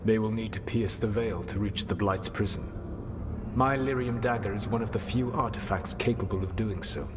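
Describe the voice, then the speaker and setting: An actor playing an ancient elven god in a video game, speaking in a grave, formal and measured way with a learned air. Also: male voice, on the low side, background noise, natural voice. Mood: grave counsel. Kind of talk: dramatic video game dialogue.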